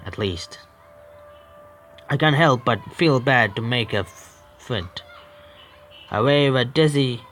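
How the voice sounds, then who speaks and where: A man with an Indian English accent, a gaming streamer reading a horror visual novel aloud, with halting restarts. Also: medium pitch, a bit bright, a little hiss or hum. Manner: halting, stumbling read with restarts.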